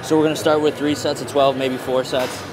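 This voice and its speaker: A man's voice: mid-range voice, flat delivery, a little fast, a crowd in the background, low-quality recording. A young man talking casually as a fitness vlogger, explaining a workout in a gym. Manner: upbeat, coaching cues, casual. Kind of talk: casual workout vlog narration and coaching. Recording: gym music playing behind. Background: music.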